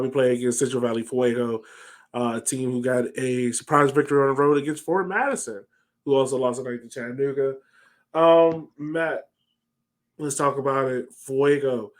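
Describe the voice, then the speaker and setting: An American man, a podcast host, casually discussing sports on a video call. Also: medium pitch. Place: home room, on a video call. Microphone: headset on a video call.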